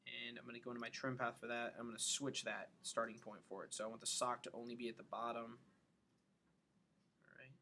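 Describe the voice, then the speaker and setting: Male tutorial instructor, bright forward voice, informal step-by-step delivery, some background noise. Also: mid-range voice, little pitch movement, brisk, gravelly. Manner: informal, thinking aloud step by step. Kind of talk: casual screen-recorded software tutorial.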